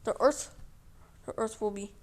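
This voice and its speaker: A woman delivering a mock emergency broadcast announcement in a halting way, repeating words. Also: a little high. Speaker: narrator of a mock emergency alert.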